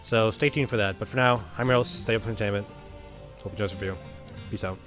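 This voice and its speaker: A man's voice: fairly low pitch, fairly even intonation, fast, over music. A man hosting a casual video game review, talking in a blunt, rambling, conversational way.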